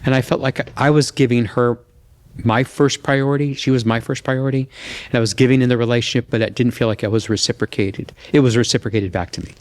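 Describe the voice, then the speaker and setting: Older man, measured and plain-spoken. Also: mid-range voice, fairly even intonation, darkish tone. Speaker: dating show contestant. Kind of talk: earnest conversation on a dating show.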